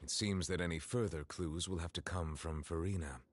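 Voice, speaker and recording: A man voicing a grave, courteous judge in a video game, speaking formally and deliberately. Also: low voice, loud music behind the voice, close to an everyday voice. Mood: pensive. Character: grave, courteous, dignified male judge of measured temper.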